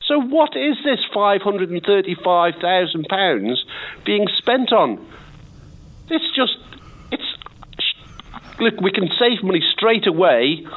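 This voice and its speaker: A man calling a radio phone-in with a croaky voice, sounding indignant and sarcastic. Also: sits high, sing-song, takes it slowly, pauses often. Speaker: caller. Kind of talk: phone-in call, reading out and criticising. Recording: tinny phone line.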